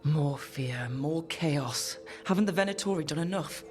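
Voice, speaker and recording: An actor playing an earnest adventurer hero in a video game, direct and questioning. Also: male voice, a little high, unhurried, a little mellow, close to an everyday voice. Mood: exasperated. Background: background music.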